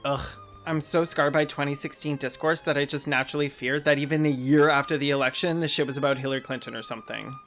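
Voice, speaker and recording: A man's voice: on the high side, steady tone, fast, hardly any pauses, fairly bright tone, faint music behind. A man hosting a video essay, mixing sarcastic, mocking asides with plain argument.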